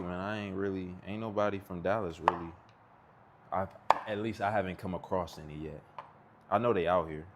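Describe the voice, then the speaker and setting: A man's voice: deep voice, background noise. A man in his thirties with a Texan accent, chatting casually and rambling in slang while he cooks at home. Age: 30s. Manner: laid-back, rambling, slangy. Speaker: cooking vlogger. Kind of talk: casual chat while cooking. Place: home kitchen.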